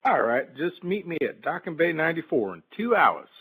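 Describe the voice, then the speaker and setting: A man playing a glib, sleazy shuttle pilot in a game-based audio drama, smooth-talking like a salesman. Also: a little high, big pitch swings, brisk, fairly bright tone, exaggerated voice, poor audio. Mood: falsely reassuring. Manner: smooth-talking, falsely reassuring salesman patter. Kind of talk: fan audio drama, game-story character acting.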